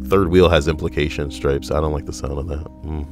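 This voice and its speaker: An extremely deep male voice, dry and deadpan, reading odd stories aloud with rambling jokes between them. Faint music plays softly underneath him. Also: brisk. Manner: deadpan, wry, rambling asides. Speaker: podcast co-host reading internet stories. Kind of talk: casual joking commentary.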